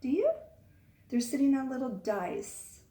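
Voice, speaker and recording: A woman reading a picture book aloud to young children, expressive, pausing to ask the listeners questions. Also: sits high. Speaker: children's storytime reader. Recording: echoey room, far from mic.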